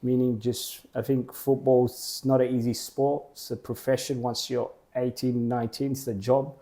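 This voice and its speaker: Man, young adult, interview guest, mellow voice, halting short phrases. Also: medium pitch, level tone. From Australia. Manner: plain, halting, short clauses. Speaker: guest, football program co-founder. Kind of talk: casual interview answers.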